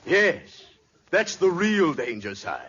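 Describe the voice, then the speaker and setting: Casting: hammy family doctor, solemn delivery, highish swooping pitch, noisy vintage radio comedy recording. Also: male voice, very animated, lo-fi sound. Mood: mock grave. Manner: grave, deliberate bedside manner. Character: mock-grave family doctor hamming up a fake diagnosis. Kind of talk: 1940s radio comedy acting.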